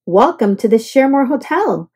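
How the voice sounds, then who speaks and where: A woman's voice: high voice, big pitch swings, normal speed, a little mellow, husky, full character voice. A woman voicing a polite, helpful male bellhop in a storybook, courteous and apologetic. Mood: polite, soothing. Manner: courteous, solicitous.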